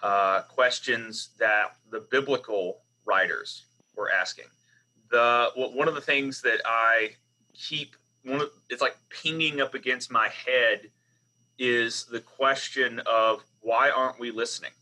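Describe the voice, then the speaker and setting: A man's voice: medium pitch, fairly even intonation, unhurried, sharp tone, poor audio. A man in his thirties with a Southern US accent, a biblical scholar speaking on a video call panel in a chatty, self-deprecating way. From Southern United States. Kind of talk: online panel discussion.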